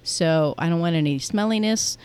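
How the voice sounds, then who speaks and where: A woman guest on a podcast, talking in a hesitant, rambling way, full of pauses. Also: lowish voice, darkish tone, clean tone. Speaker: guest comedian. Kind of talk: casual comedy chat.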